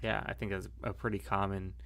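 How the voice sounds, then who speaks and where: An American man leading a casual church class discussion, relaxed and thinking aloud with fillers. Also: low voice, a little fast, creaky.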